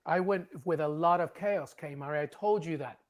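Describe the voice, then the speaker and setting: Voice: medium pitch, moderate pace. A football panelist, talking in short, emphatic phrases in a sports discussion. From England. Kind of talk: animated studio sports discussion.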